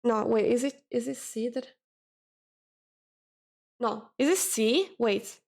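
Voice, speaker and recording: Streamer, a woman with a slightly high voice, who speaks slowly and searches for words in casual chat. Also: gravelly, darkish tone. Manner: hesitant, searching for words, self-correcting.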